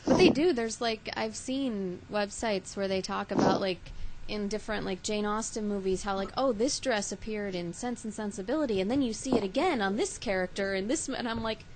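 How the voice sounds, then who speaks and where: A woman co-hosting a podcast, animated and full of "like". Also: medium pitch, moderate pace. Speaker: podcast host. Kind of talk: casual podcast chat. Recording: loud background noise.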